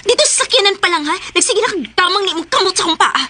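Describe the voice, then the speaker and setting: A woman playing a coquettish, whiny sweetheart in a Filipino radio drama, with drawn-out, pleading delivery. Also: very high-pitched, animated, pushed and bright, slight background noise, full character voice. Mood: annoyed.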